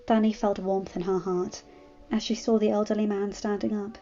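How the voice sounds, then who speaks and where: A woman's voice: medium pitch, little pitch movement, moderate pace, mellow. A woman reading fan fiction aloud as scripted story narration. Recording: muffled audio with music. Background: music.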